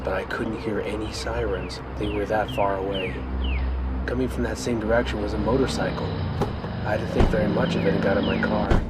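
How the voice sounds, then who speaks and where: An actor playing a tense survivor narrating a zombie outbreak in a first-person radio play. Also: male voice, lowish voice, very noisy, close to an everyday voice. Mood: uneasy recounting. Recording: loud music over the voice.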